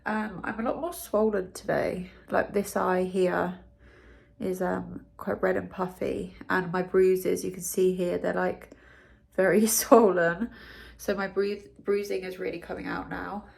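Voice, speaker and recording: A young woman from the UK talking casually in a video diary, her nose blocked and congested, pausing for breath as she chats with many ums and likes. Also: fairly low pitch, little pitch movement, gravelly, darkish tone, reverberant. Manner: chatty, lots of ums and likes. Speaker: vlogger.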